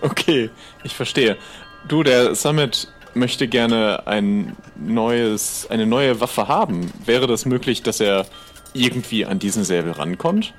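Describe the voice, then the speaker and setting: A man's voice: mid-range voice, slow pace, faint music behind, close to an everyday voice. A man playing an easygoing pirate trader in an improvised audio adventure, talking in a casual, persuasive, conversational way. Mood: bargaining.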